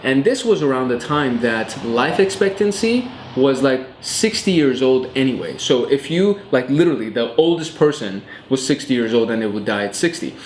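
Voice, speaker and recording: A man in his late twenties hosts a show, talking to camera in a relaxed, chatty way with plenty of fillers. His voice sits at an ordinary middle pitch. The room rings with noticeable echo, and a faint ambient hum stays underneath. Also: normal speed, hardly any pauses. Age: 20s. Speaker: host. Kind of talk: casual educational vlog talk.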